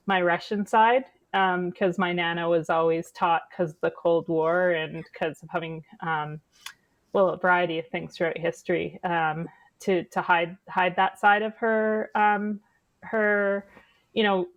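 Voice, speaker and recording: A woman's voice: lowish voice, steady tone, measured pace, no room sound, lo-fi sound. Canadian woman host, forties, dry headset sound, chatty and meandering. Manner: rambling, many restarts, laughs at herself. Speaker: podcast host. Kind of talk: casual video-call chat. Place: home kitchen.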